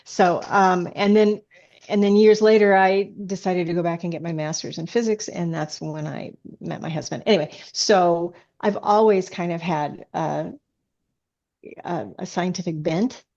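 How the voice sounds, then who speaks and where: A woman with a fairly low voice talks as a guest author in a casual interview. She explains things with enthusiasm and an easy conversational flow. The recording is a little dull and lo-fi. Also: steady tone, unhurried, vocal fry, darkish tone. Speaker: guest, science fiction author.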